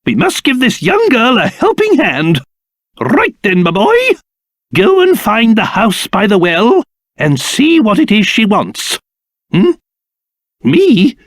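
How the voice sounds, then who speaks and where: A man's voice: sits high, wildly swooping pitch, slow, no echo, clean studio sound, heavily acted. A man voicing a fussy, haughty old cursed king, a creature and doting father in a video game, with a pompous, theatrical, exclamatory manner. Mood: delighted, eager. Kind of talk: video game character voice acting.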